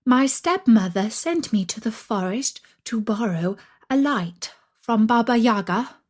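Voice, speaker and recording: A woman's voice: a little high, lively intonation, measured pace. A woman voicing a timid, polite young girl who speaks meekly and carefully, in a storybook style. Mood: nervous, polite. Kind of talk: storybook character acting.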